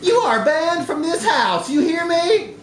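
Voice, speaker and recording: A man's voice: very high voice, very animated, a little slow, pitched above the performer's own voice, full character voice. A man at a live story reading voicing an irate, scolding, fed-up ex-wife. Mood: angry. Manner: scolding, exclamatory, disgusted. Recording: echoey live room recording with background noise.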